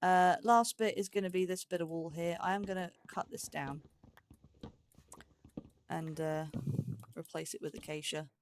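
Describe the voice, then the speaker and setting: A woman's voice: fairly low pitch, steady tone, normal speed, long pauses, a little hiss or hum. A woman giving casual let's play commentary, musing aloud and questioning herself as she goes. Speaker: host of a gaming let's play series.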